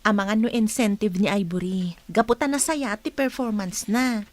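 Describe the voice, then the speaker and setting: A woman's voice: medium pitch, moderate pace, darkish tone, lightly acted. A woman acting as a pert office co-worker in an Ilocano radio drama, with an Ilocano accent.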